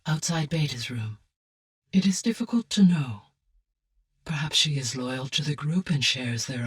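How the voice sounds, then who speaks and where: A woman voicing a calm, caring, highly intelligent female artificial intelligence in a video game, with formal, precise, measured phrasing and a low, husky voice. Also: recorded from a distance, full character voice. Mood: grave, concerned. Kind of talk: measured, scripted game dialogue.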